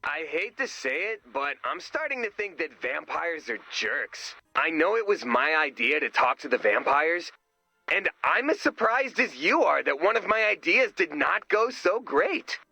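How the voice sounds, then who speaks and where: A man's voice: a little high, big pitch swings, a little slow, gravelly, sharp tone, breathy edge, no echo, full character voice, rough recording. A man playing a naive, good-natured, goofy sidekick in a video game, earnest and guileless, with a radio effect. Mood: sheepish. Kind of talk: video game character voice acting.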